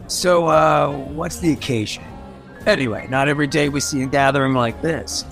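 An actor playing a roguish, wary, wisecracking mayor in a radio drama, casual and sly. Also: male voice, fairly high pitch, normal speed, a bit bright, soft background music.

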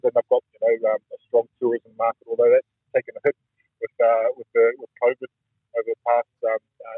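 Brief: New man, radio guest over a tinny phone line, husky, quick and halting, flat delivery. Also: mid-range voice, dry recording. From New Zealand. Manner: halting, restarts often, searching for words. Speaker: rugby guest interviewee. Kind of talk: radio interview.

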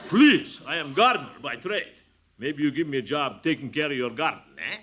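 A man's voice: a little high, expressive, a little fast, a bit bright. A man doing a put-on foreign dialect as a fake immigrant gardener speaking broken English, in 1940s radio comedy. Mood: bluffing.

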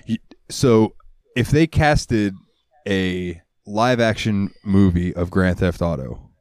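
A man's voice: deep voice, lively intonation, takes it slowly, darkish tone, dry recording, studio quality. A man co-hosting a podcast, telling rambling stories in casual chat and swearing freely. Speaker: podcast co-host. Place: US South, central Florida.

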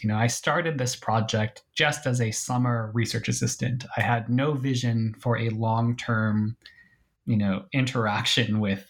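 A man being interviewed about his book, speaking thoughtfully, with frequent restarts and hedges. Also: lowish voice. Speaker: author and guest. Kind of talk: academic interview.

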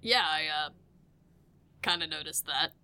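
A woman as a low, forceful male park director. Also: clean tone, heavily acted. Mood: grave, compassionate. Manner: deliberate, sighing, gently firm. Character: male park director, measured, compassionate, firm authority. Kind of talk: scripted audio drama dialogue.

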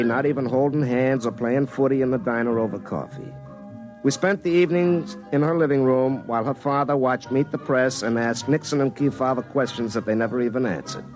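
A man's voice: mid-range voice, normal speed, natural voice, poor audio. An actor playing an earnest, hapless young telephone lineman, telling his own story in a folksy, self-dramatizing way in a comic radio drama. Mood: rueful storytelling. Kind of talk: first-person comic radio drama narration and dialogue. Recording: classic radio show with music underneath.

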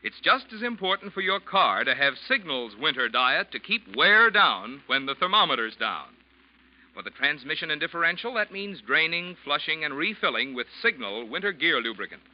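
A man announcing for 1940s radio in polished, emphatic sales style. His delivery is lively and fairly high, on a muffled vintage recording. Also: moderate pace. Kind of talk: 1940s radio announcing, scripted sponsor read. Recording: dull old radio recording. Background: music.

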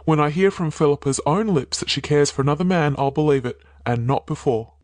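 A woman doing a low-voiced urgent young man. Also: fairly quick, darkish tone, no room sound, natural voice. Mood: firm. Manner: urgent, pleading, then firm. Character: young man, returned suitor, urgent and earnest. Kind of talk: audiobook character voice.

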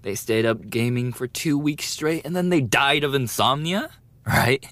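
A man's voice: mid-range voice, measured pace, a bit bright, no echo, close to an everyday voice. A man playing a cruel, mocking teenage delinquent bully in a dramatic roleplay audio, with a taunting, sneering, menacing delivery.

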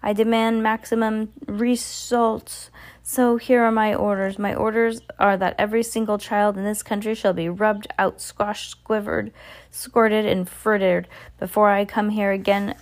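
A woman's voice: mid-range voice, level tone, voice pushed up, lightly acted. A woman voicing a tyrannical witch villain in a storybook, ranting imperiously and spitting out contempt. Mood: furious, screaming. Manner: imperious, ranting, spitting out insults in drawn-out emphasis. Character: tyrannical, furious Grand High Witch, screaming, contemptuous villain.

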